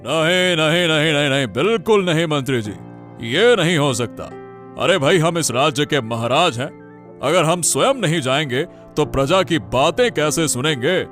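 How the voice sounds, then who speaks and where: A man's voice: on the high side, expressive, a little slow, a bit bright, soft background music. A man voicing a dutiful, self-important king in a cartoon story, with a regal, commanding manner. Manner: regal, commanding, repeats himself. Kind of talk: Hindi cartoon story voice acting.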